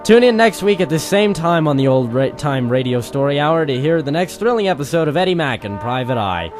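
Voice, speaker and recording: A man's voice: a little high, lively intonation, brisk, over music, close to an everyday voice. An actor playing an announcer and newsman, in the style of old-time radio. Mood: brisk, dramatic.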